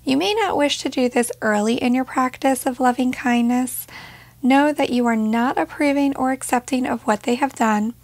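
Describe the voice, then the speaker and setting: Woman, meditation guide, fairly high voice, gentle measured phrasing, dry close sound. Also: no room sound. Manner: gentle, measured, with pauses between short phrases.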